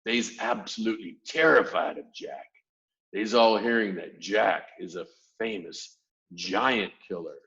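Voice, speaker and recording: A man voicing a big, gentle male giant who talks in hushed tones with mangled grammar, in a storybook reading. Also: on the low side, animated, measured pace, creaky, muffled recording, full character voice. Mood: explaining. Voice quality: soft, whispery.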